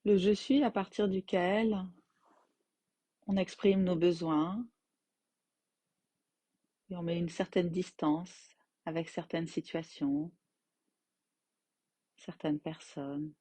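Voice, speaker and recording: A woman guiding a yoga nidra relaxation as its teacher, in gentle, unhurried phrases with long pauses. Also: on the low side, steady tone, breathy edge, no echo. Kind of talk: guided meditation, slow soothing instruction.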